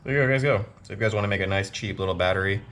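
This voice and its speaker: A man casually walking through a home motorcycle project, relaxed and full of pauses. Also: on the low side, background noise. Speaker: motorcycle builder. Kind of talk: casual DIY build walkthrough. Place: home workshop.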